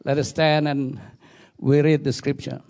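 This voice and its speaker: Indonesian-accented male guest preacher, middle-aged, slightly high voice, halting English with many "uh"s. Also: little pitch movement, vocal fry, darkish tone. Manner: hesitant, repeats words, frequent "uh" fillers. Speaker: guest pastor. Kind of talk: sermon introduction.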